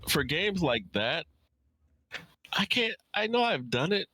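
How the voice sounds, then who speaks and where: A man's voice: a little high, big pitch swings, takes it slowly, pushed and bright, no echo. A man in a casual interview chat, answering as a guest in an informal, agreeable way.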